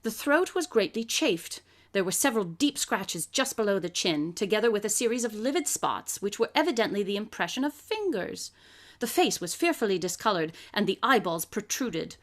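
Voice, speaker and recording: An actress in a live radio drama playing a composed woman who reads out evidence in a measured, articulate way. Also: mid-range voice, big pitch swings. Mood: composed, grave. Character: woman reading out police evidence, composed, precise. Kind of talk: live radio drama, formal report reading.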